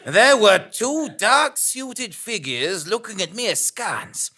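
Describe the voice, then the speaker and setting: A woman's voice: medium pitch, big pitch swings, takes it slowly, sharp tone, slightly rough, heavily acted. A woman playing a bewildered amnesiac male investigative journalist in a comic audio drama, theatrical and mock-serious. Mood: mock-dramatic. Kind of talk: comic audio drama, scripted narration and dialogue.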